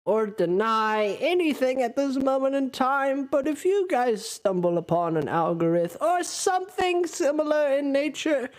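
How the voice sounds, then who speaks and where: A man running a tabletop roleplaying game as dungeon master, animated and quick to voice the characters' reactions. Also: extremely high pitch. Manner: animated, voicing NPC reactions.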